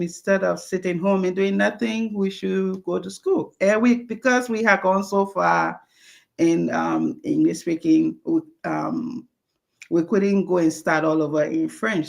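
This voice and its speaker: A woman's voice: fairly low pitch, fairly even intonation, a little slow, vocal fry, darkish tone. A woman from Liberia, telling her life story as an interview guest. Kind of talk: conversational interview.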